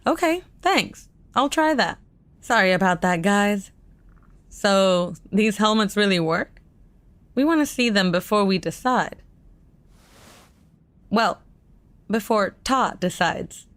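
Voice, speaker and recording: Concerned mother, audio drama, polite, slow delivery, close dry recording. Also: medium pitch, lively intonation, a bit bright, no room sound. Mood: polite, businesslike. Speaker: actor in a full-cast audio drama. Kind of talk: sci-fi audio drama acting.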